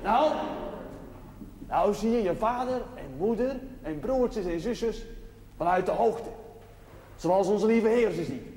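An actor playing an earnest, playful, plain-spoken village chaplain, preaching emphatically with pauses in a television drama. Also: male voice, high-pitched, lively intonation, warm tone, exaggerated voice. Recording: noisy, echoey church-like hall.

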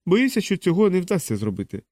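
A man's voice: high-pitched, huge pitch swings, fast talker, darkish tone, dry recording, pitched above the performer's own voice, exaggerated voice. A man voicing a cautious, doubtful male scientist in an audiobook, careful and questioning. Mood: resigned, doubtful.

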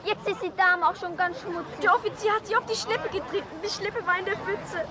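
A woman playing an excited, chattering small boy in a vintage German radio drama. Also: extremely high pitch, brisk, crowd noise. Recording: 1960 radio, faint outdoor station noise.

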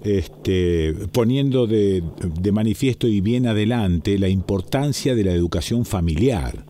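A man's voice: on the low side, expressive, measured pace, slight background noise. A man in his seventies from Rosario with a Rosario Argentine accent, interviewing a guest and murmuring attentive replies. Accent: Rosario Argentine Spanish. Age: 70s. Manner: attentive, constant soft backchannels. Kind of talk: conversational interview.

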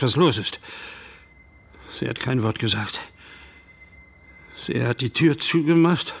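A man acting in a radio drama as an older, guilt-ridden small-town police chief, halting and agitated. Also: mid-range voice, gravelly, fairly bright tone, slight background noise. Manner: halting, agitated, breaking into pleading.

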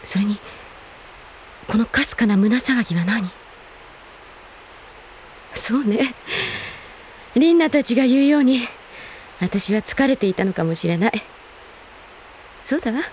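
A woman's voice: a little high, lively intonation, measured pace, long pauses, darkish tone, a little hiss or hum. An actress playing a composed, wistful elder-sister team leader in an anime audio drama, poised and ladylike. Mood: troubled, wistful.

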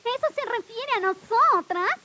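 A woman's voice: very high voice, animated, normal speed, sharp tone, exaggerated voice. A woman playing a haughty young stepsister, mocking and exclamatory, on an old children's story record. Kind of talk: 1950s children's record acting.

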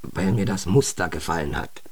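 An actor playing an evasive sixteen-year-old son in a radio drama, halting and defensive, stammering when cornered. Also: male voice, deep voice, slightly rough. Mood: sheepish.

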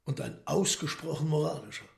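Radio drama devil: commanding and ironic, measured formal delivery, gravelly fry in a higher male register. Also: a little mellow. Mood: cool, ironic. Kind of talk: German radio play acting.

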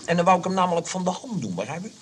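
An actor playing a smooth-talking young swindler in a radio comedy, with chummy, folksy, hesitant sales patter. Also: male voice, high-pitched, big pitch swings, racing. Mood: hedging, folksy. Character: smooth-talking young male swindler posing as a famous entertainer. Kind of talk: comedy radio play acting. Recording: noisy old radio play recording, clicks.

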